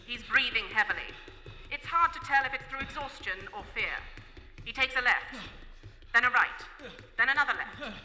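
High-voiced woman narrating in a hall. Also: normal speed, long pauses, bright, forceful tone. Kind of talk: scripted radio play narration. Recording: echoey hall, music behind.

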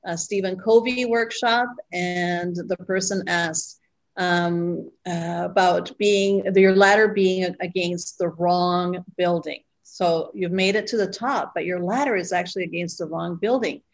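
A middle-aged woman guest, born in Costa Rica, telling her story in an interview with many pauses and fillers. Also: lowish voice, steady tone. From Costa Rica, raised abroad. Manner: reflective, pausing with fillers. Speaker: guest, retreat owner.

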